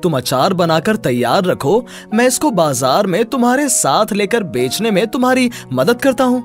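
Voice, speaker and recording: A man's voice: sits high, big pitch swings, moderate pace, soft background music. A man voicing an honest, protective brother with an earnest, reassuring manner, in a moral story.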